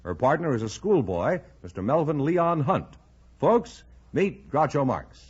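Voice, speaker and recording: A man's voice: a little high, sing-song, measured pace, breathy edge. An American man announcing a radio show in the formal, showy, projected style of the 1940s and 50s. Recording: muffled vintage radio broadcast, music behind.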